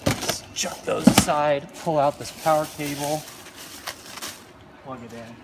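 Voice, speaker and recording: A man's voice: a little high, measured pace. A man giving casual, unscripted hands-on commentary, thinking aloud informally as he works. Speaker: hobbyist video host. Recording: loud ambient noise around voice.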